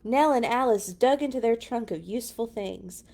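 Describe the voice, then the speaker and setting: A woman's voice: on the high side, normal speed, gravelly, darkish tone. A woman reading a picture book aloud to children, with dramatic emphasis and chatty asides. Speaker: children's read-along storyteller.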